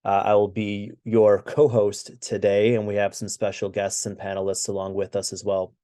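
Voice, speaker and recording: A man's voice: on the low side, completely flat delivery, normal speed, dark tone. A middle-aged American man co-hosting a webinar, presenting over a video call with lots of "uh" fillers and restarts. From United States. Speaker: webinar co-host.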